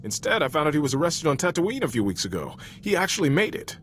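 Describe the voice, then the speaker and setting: A man's voice: a little high, big pitch swings, fast talker, a bit bright, a little hiss or hum. A man playing an earnest, loyal Republic soldier in a video game, speaking frankly in an informal, sincere military manner. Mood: concerned, urgent. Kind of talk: cinematic video game voice acting.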